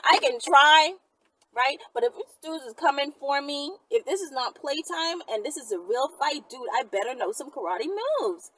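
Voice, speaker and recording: A woman talking casually and bluntly to viewers in an animated, slangy, unscripted way, as the host of her own channel. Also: high voice, moderate pace, poor audio.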